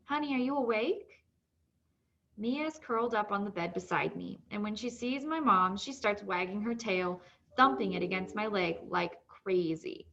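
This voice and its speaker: A woman's voice: medium pitch, normal speed, gravelly, sharp tone, dull audio. A woman reading a children's novel aloud as scripted narration.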